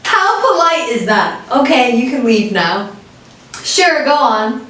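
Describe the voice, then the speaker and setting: A woman's voice: a little high, sing-song, slow pace, a bit bright. A young American woman chatting casually as a co-host, telling stories playfully, laughing and acting out what people said. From United States. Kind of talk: casual chat. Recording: echoey room, voice a bit far from the mic, faint hiss.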